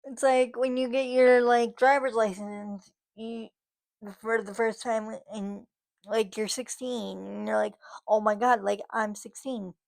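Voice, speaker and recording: A woman in her thirties chats to her vlog audience, meandering through thoughts with many fillers and false starts. Her voice sits a little high, and she speaks at a slow, unhurried pace. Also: level tone, creaky, a little mellow. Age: 30s. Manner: rambling, many fillers and restarts. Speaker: vlogger. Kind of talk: casual monologue to camera.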